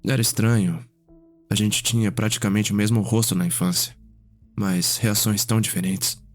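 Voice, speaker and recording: Low male voice, reflective vampire noble, ASMR roleplay, unhurried storytelling, pauses, background music. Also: fairly even intonation. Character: Cedric, curious, reflective male vampire noble, younger brother.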